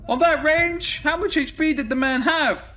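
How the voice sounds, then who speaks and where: A man's voice: very high-pitched, normal speed, bright, forceful tone, faint music behind. A man who is a non-native English speaker, giving live esports play-by-play and casual commentary. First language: not English.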